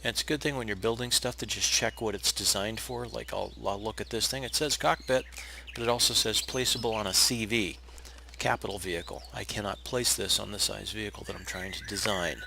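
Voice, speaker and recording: A man with a low, raspy voice, hoarse because he is unwell, explains a game build at an easy pace, stopping to correct himself now and then. The home recording carries a steady hiss, and birds chirp faintly in the background. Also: a little fast. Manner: relaxed, explanatory, with frequent uh fillers and restarts. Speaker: game tutorial narrator. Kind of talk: casual step-by-step gameplay tutorial.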